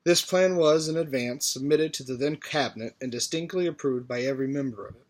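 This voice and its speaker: A man reading a formal historical political speech aloud in a measured, deliberate way. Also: medium pitch. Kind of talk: formal oratory read aloud from a text.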